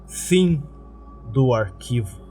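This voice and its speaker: A Brazilian man narrates in a middle register. His delivery is scripted, like a clinical report from a horror archive, with soft music faintly underneath. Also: mid-range voice, animated, extremely slow.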